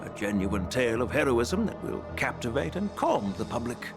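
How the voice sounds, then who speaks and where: A man's voice: medium pitch, big pitch swings, moderate pace, over music. A man playing a wise elder general and strategist in a game, speaking with grave, eloquent deliberation. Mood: resolute. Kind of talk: formal scripted game dialogue.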